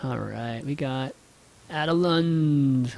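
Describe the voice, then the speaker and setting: A man hosting a casual podcast, relaxed and affirming. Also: medium pitch, takes it slowly, creaky. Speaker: podcast host.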